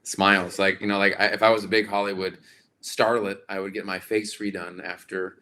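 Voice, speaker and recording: A man hosting a live chat with viewers, his voice a little raspy and quite bright and forward. He talks in a loose, rambling way, full of fillers and restarts, pitched somewhat low. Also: level tone, a little fast. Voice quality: slightly raspy. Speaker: livestream host.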